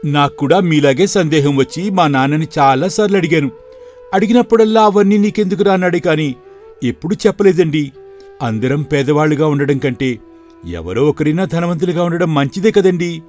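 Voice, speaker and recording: A man's voice: a little high, fairly quick, darkish tone, soft background music, close to an everyday voice. A man playing a poor, respectful young shop clerk in a moral story, earnest and polite in his talk. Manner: polite and deferential. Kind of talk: dramatized moral story for video.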